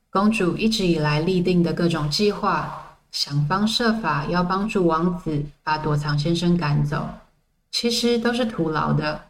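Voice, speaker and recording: A woman hosting a podcast, reading a scripted book review in a measured, reflective storytelling way. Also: lowish voice, far from the mic, low-quality recording. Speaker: podcast host.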